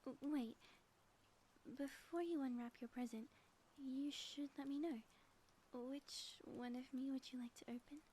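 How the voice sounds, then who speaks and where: Woman acting a breathy, playful ex-villain wife, softly mellow. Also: high voice, steady tone, a little slow. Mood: tender, flirtatious. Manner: teasing, breathy, often dropping toward a whisper. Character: playful, flirtatious ex-villain wife, theatrical yet sappy and affectionate. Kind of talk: intimate ASMR audio roleplay. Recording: faint background noise.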